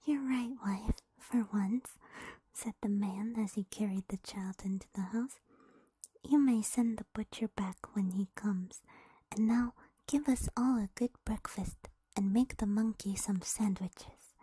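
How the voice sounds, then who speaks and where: A woman streamer telling a story in a soft unvoiced whisper, playful and teasing, with giggly asides to her chat. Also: mid-range voice, steady tone, measured pace, breathy edge, no room sound.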